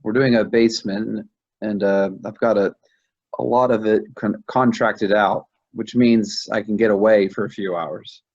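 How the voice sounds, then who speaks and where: An easygoing husband , played by a man in a stage drama. His voice sits fairly low and sounds dulled by a lo-fi recording, and his delivery is casual. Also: fairly even intonation, measured pace, darkish tone. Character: easygoing husband and friend at a dinner party. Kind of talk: staged play reading. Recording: dull, lo-fi audio.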